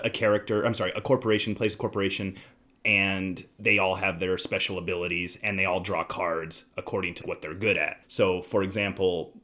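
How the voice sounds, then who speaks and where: Male podcast host, lowish voice, relaxed conversational game-review delivery. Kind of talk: casual board game review chat.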